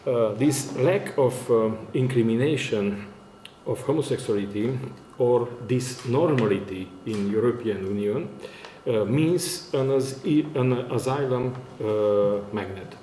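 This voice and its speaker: A man's voice: mid-range voice, takes it slowly, mellow. A man with a Romanian accent gives a formal conference presentation in non-native English, very hesitantly, searching for words. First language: not English, which is a fourth working language. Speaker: academic conference speaker, university lecturer. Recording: echoey room, faint background noise.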